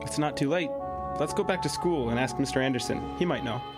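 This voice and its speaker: A man's voice: mid-range voice, a little fast, loud music behind the voice, close to an everyday voice. A man playing an earnest, curious teenage schoolboy in an audio drama, with a questioning manner.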